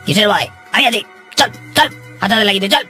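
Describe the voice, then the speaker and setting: A man's voice: high voice, lively intonation, quick pace, sharp tone, soft background music, heavily acted. A man voicing a jealous, bossy village schemer in a cartoon, with an urgent, conspiratorial manner. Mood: scheming, bossy. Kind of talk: cartoon voice acting in a moral story.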